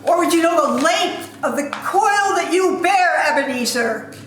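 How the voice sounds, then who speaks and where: A woman's voice: very high voice, lively intonation, measured pace, fairly bright tone, heavily acted. A woman in an amateur dramatic reading playing a mournful male ghost in chains who declaims solemn warnings. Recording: very echoey room, faint background noise.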